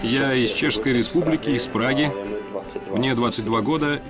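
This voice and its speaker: A man reads a voice-over translation in an even, unhurried way. His voice sits at an ordinary middle pitch for a man. Loud music plays underneath. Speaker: voice-over interpreter. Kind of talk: dubbed self-introductions, read evenly. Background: background music.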